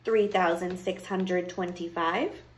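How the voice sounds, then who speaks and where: A woman voicing a terrible, miserly witch in a fairy tale, slow, indifferent and menacing. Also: on the low side, fairly even intonation. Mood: cold indifference. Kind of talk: storybook character voice.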